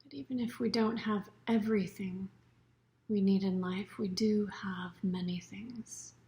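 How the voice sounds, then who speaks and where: Woman softly guiding a relaxation meditation. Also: mid-range voice, fairly even intonation. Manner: soothing, unhurried, with soft breathy phrase endings. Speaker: yoga nidra meditation teacher.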